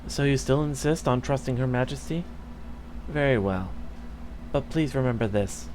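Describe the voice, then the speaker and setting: A man voicing a scheming courtier who speaks in a measured, insinuating way. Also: medium pitch, expressive, darkish tone, a little hiss or hum, lightly acted. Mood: sly.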